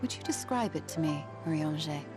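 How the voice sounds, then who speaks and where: A woman playing a calm, formal, scholarly woman in a fantasy video game, speaking in a measured, grave way. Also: sits low, roomy sound, in a large hall, music in the background. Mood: grave, thoughtful. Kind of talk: dramatic video game cutscene acting.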